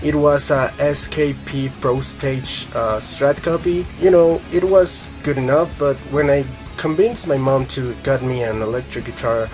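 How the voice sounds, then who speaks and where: A man's voice: medium pitch, unhurried, few pauses, darkish tone. Young man, Colombian Spanish accent, rambling vlog chat, casual swearing, faint music behind. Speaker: guitar vlogger. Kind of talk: casual rambling monologue to camera.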